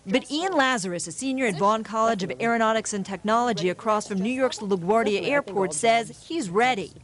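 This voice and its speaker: A woman's voice: fairly high pitch, fairly quick, a crowd in the background. A woman working as a news correspondent, reading scripted report narration.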